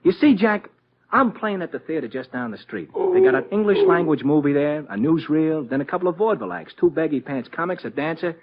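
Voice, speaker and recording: An actor playing an anxious, excitable small-time vaudeville animal-act showman, chummy and slangy, in an old-time radio detective drama. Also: fairly high pitch, brisk. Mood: nervous, chatty. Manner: folksy slangy talk, chummy. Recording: muffled, noisy old radio recording.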